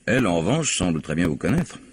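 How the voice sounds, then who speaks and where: Man acting a very deep, husky police investigator. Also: very animated, quick pace, exaggerated voice. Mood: probing. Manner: probing, methodical, formally polite. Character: insistent, skeptical male police investigator questioning a suspect. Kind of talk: French radio mystery drama acting.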